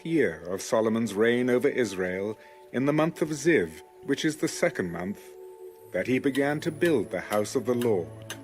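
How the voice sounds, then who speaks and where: A man giving a measured, formal scripted narration as a reader of scripture. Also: mid-range voice, lively intonation, faint music behind. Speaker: audio Bible narrator.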